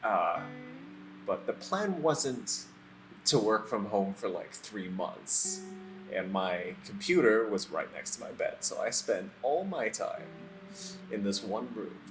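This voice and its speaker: A man in his mid-twenties giving a halting personal account, pausing mid-sentence. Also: fairly low pitch, big pitch swings, rough recording. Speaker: interviewee, animator. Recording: music behind the voice. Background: plucked guitar music.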